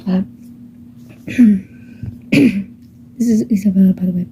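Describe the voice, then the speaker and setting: A woman's voice: medium pitch, steady tone, slow pace, mellow, slight background noise. A woman chatting casually and nervously in a half-whisper, rambling with fillers before a homemade audio drama. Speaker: fan audio creator. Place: home. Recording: dull muffled home recording.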